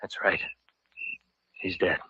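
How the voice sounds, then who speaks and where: An actor playing a level-headed man who narrates an eerie old-time radio drama in a measured, dramatic way. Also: sharp tone. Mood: tense. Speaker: narrator and lead character. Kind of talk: 1940s-style radio drama narration and dialogue. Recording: dull old radio recording with music.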